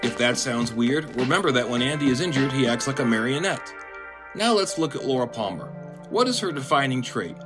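A man narrating a scripted video essay in a dry, monotone, deadpan way. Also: medium pitch. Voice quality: monotone delivery. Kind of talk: scripted commentary.

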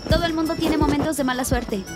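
A woman voicing a sassy, take-charge young sorceress girl in an animated cartoon, with a wry manner. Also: sits high, fast, lightly acted. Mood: breezy. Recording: loud music behind.

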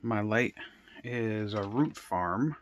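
Low-voiced man rambling casually. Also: lively intonation, takes it slowly, a little hiss or hum. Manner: rambling, thinking aloud. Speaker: home gardener showing his grow room. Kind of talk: casual unscripted video tour. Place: indoor basement grow room.

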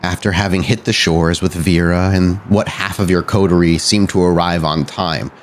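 A man hosting and narrating a tabletop roleplaying game, often halting and correcting himself as he goes. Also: sits low, steady tone, slight background noise. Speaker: podcast host and game storyteller.

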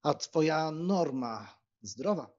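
Man as husky, cheerful young gentleman, dull recording. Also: fairly high pitch, animated, takes it slowly. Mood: curious. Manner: jaunty, teasing. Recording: dull, lo-fi sound.

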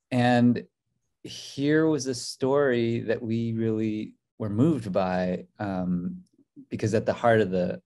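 A man with a low, warm voice talks over a webcam from a hotel room. He speaks thoughtfully as a film director, pausing on fillers and restarting sentences. His voice sounds relaxed and rather dark. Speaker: documentary co-director. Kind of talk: video-call interview. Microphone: video call webcam.

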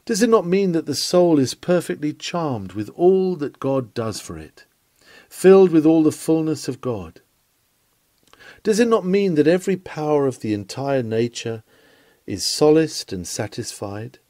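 A man's voice: fairly high pitch, big pitch swings, unhurried, dark tone, no echo. A man reading a scripted sermon in an earnest, rhetorical preaching manner with emphatic phrasing. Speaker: reader of historical sermons.

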